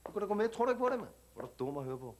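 A man's voice: high voice, big pitch swings, a little hiss or hum. An actor playing a brash young man at a TV casting, swaggering and aggressive, who tacks "mand" onto his lines. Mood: belligerent. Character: brash young male street tough at a TV casting, hot-tempered. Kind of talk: scripted sketch comedy.

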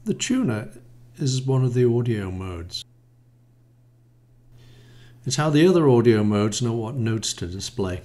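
A man in his sixties giving an explanatory, semi-improvised product demo in a measured, hesitant manner with frequent pauses. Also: medium pitch, big pitch swings, dark tone. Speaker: module designer presenting a demo. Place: small home studio room, indoors. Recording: roomy, echoey home room.

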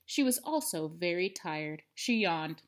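Female storybook reader, thirties, expressive read-aloud with highly varied intonation and dramatic emphasis. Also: unhurried. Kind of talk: animated children's read-aloud. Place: indoors, living room at home.

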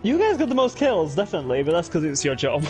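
A man's voice: a little high, big pitch swings, quick pace. A man chatting casually while playing a game with a friend, dry and self-mocking. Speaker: co-player. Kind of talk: casual gaming chat. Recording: game music underneath.

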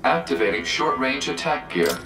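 A man's voice: medium pitch, sing-song, moderate pace, pushed and bright, slight background noise. A man playing an emotionless support robot in a game, giving clipped, formal status reports and alerts. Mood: flat, procedural. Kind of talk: game voice acting, system announcements. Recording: roomy echo, game music behind.

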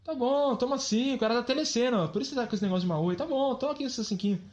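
A woman's voice: on the high side, very fast, a little mellow, lightly acted. A woman voicing a goofy, cheeky, dim young boy in a Brazilian children's cartoon, whiny and clownish. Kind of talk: children's cartoon comedy dialogue.

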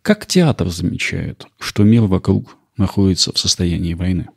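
A man hosting a podcast with scripted, measured narration. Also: low-pitched, gravelly, slightly rough, no room sound, studio quality. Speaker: podcast host. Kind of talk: scripted narration with poetry reading.

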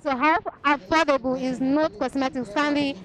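High-voiced young Nigerian woman reporter. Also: steady tone, normal speed. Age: young adult. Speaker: interviewer, reporter. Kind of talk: vox-pop interview at a fair. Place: outdoor market fair under tents. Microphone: handheld reporter microphone. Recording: busy outdoor crowd noise.

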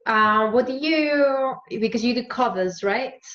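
A woman's voice: on the high side, a little slow, a bit bright. Young female host, friendly questions with frequent laughs, dull video call sound from a room by a window. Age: young adult. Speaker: interviewer. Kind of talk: casual video call interview.

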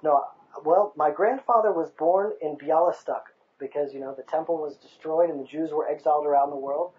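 A man's voice: a little high, fairly quick, phone-quality sound. A man in his thirties from the United States, speaking passionately in an outdoor interview on a hilltop overlook, recorded with a lapel mic. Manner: passionate, emphatic, rhetorical.

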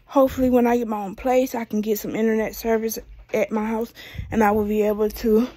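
A woman's voice: on the high side, level tone, fairly quick, mellow, dry recording. A woman of twenty-two with an American accent, chatting casually and rambling as a vlogger while she paces indoors, recorded on a handheld phone. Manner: rambling, talking while pacing on foot. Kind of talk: casual rambling vlog chat. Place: small room indoors at home. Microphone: phone at arm's length.

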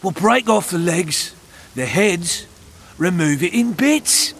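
Creaky, high-pitched greedy bandit. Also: male voice, expressive, takes it slowly, fairly bright tone, full character voice. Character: greedy, crude male bandit thug. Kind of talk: video game dramatic dialogue.

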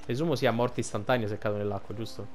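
A man's voice: fairly low pitch, steady tone, fast talker, soft background music. A man giving casual, informal playthrough commentary as a gaming streamer, thinking aloud.

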